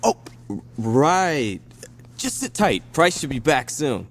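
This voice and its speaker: Excitable, flaky young gang mechanic. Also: male voice, a little high, slow, a little hiss or hum, exaggerated voice. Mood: chatty. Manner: loose, slangy, excitable. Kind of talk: video game cutscene acting.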